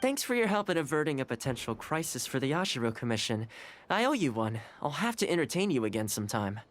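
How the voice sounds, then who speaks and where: A man playing a calm, shrewd young housekeeper of a samurai clan in a video game, speaking in a composed, articulate way. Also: mid-range voice, fast, a little hiss or hum, lightly acted. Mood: composed, easygoing.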